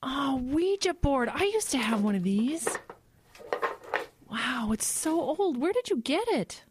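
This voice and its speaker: A woman's voice: high voice, sing-song, a little slow, noisy background. A woman playing a curious middle-aged mother of a teenager in audio drama dialogue.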